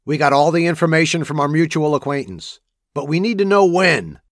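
A man's voice: fairly high pitch, lively intonation, brisk, dry recording, studio quality, close to an everyday voice. A man playing an obedient, businesslike hired criminal in his forties in an audio drama. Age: 40s. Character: husky middle-aged hired kidnapper, obedient and businesslike.

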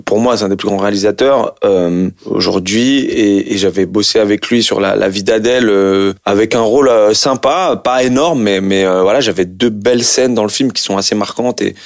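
A man in his late thirties, an actor chatting casually and relaxed in an interview, laughing easily. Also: lowish voice, normal speed, hardly any pauses, no room sound, clean studio sound. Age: late 30s.

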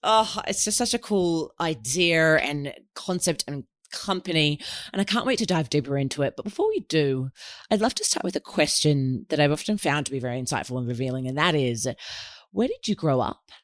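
A woman's voice: low voice, moderate pace. A woman hosting a podcast, reading a scripted intro and then chatting enthusiastically with a guest. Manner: enthusiastic, upbeat, animated. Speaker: podcast host.

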